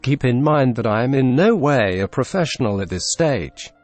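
A young man with a Russian accent narrating a software tutorial in a deadpan, dryly absurd scripted voice-over. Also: medium pitch, moderate pace, faint music behind. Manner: deadpan, dry absurd humor.

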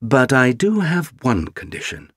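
A man's voice: medium pitch, huge pitch swings, unhurried, breathy edge, dry recording, studio quality, pitched above the performer's own voice, full character voice. A man voicing an eloquent, gallant Russian count who speaks in florid, theatrical oratory. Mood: grandiloquent.

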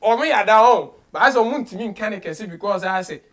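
Male interview host, Ghanaian accent, high-pitched, emphatic and animated, code-switching between English and Twi. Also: fast talker. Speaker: radio show host. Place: small radio studio office, indoors.

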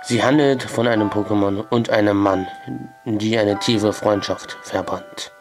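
A young man talks slowly into a headset in a small bedroom, hosting a game playthrough. His voice sounds a little strained from a cold, and he pads his sentences with hesitant fillers. Faint music plays underneath. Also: lowish voice, steady tone. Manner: hesitant, many filler "ja"s, slow and deliberate. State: has a cold, voice a bit strained. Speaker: let's play host. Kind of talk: let's play commentary, reading game text aloud.

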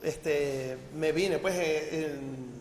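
A man's voice: a little high, extremely slow. A man in his thirties with a Caracas Venezuelan accent, answering interview questions hesitantly with many fillers. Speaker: guest businessman. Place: TV news studio. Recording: echoey studio with faint hiss.

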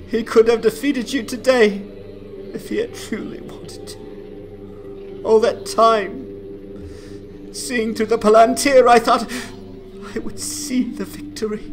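A man playing a broken, bitter father in a fantasy game dramatization, halting and choked with anguish. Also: extremely high pitch, pitched above the performer's own voice, heavily acted, low-quality recording. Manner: halting, despairing, melodramatic. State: choking sobs, anguished. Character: broken, bitter old father freed from torment. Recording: music under the voice.

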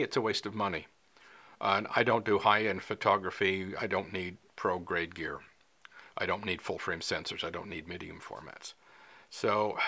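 A man's voice: low-pitched, sharp tone. A man in his sixties talking casually to the camera in a small studio, conversational with lots of fillers and hand gestures. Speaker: fly fishing vlogger. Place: small indoor studio, dark backdrop.

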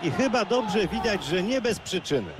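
A man giving color commentary for a football video game, calmly analyzing replays. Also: high-pitched, background noise. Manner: measured, analytical, explains replays. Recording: loud stadium crowd behind.

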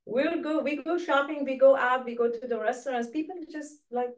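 A woman's voice: sits high, fairly even intonation, brisk. A middle-aged woman with a Persian accent chats and tells stories casually, acting out bits of dialogue. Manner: chatty, acts out quoted dialogue. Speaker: guest, real estate agent. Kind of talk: casual conversational storytelling. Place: small indoor studio set, seated. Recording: distant mic in a room.

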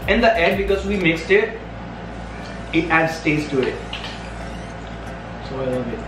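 A young man with a Filipino accent chats cheerfully while he eats, his voice sitting a little high. He sounds a bit far from the mic, in an echoing room. Music plays clearly underneath. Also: expressive, measured pace. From Philippines. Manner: chatty, upbeat, laughs often, talks while eating. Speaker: vlogger. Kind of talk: casual food tasting chat. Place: indoors in a small room, seated at a table. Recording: echoey room from a distance with music.

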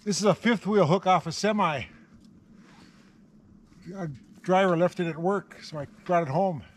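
A man's voice: high-pitched, moderate pace, slight background noise. An older man working draft horses in snowy woods, explaining his work in a plain-spoken, casual way. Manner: plain-spoken, giving short commands to horses. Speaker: horse logger. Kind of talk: casual chat while working. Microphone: camera mic from a few meters away.

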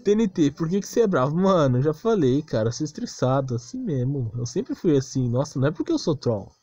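Exasperated Brazilian man, higher-pitched, muffled mic. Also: very animated, brisk, mellow, slightly rough, dry recording. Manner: defensive, exasperated complaining. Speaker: gaming video creator. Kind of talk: casual unscripted rant over game footage.

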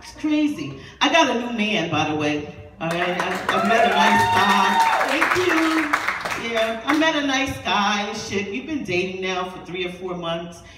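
A woman's voice: mid-range voice, expressive, moderate pace. Brash, profane woman in her fifties. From New York. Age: 50s. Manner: brash, profane, conversational, with frequent asides to the crowd. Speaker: stand-up comedian. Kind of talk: raunchy stand-up set. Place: small dim club with a low stage. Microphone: handheld mic, filmed by a far camera in the crowd. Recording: roomy, distant club audio with loud crowd noise.

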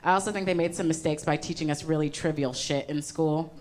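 A woman's voice: deep voice, steady tone, a little fast, a little hiss or hum. A young woman doing a stand-up comedy set on stage with a handheld microphone, delivering conversational punchlines with frequent ums. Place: comedy stage before a live audience. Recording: live show with loud crowd laughter. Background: loud audience laughter.

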